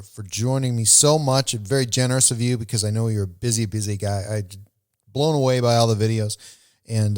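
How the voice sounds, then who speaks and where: A man's voice: on the low side, a little mellow. A man hosting a podcast, speaking to a guest and to his listeners. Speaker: podcast host.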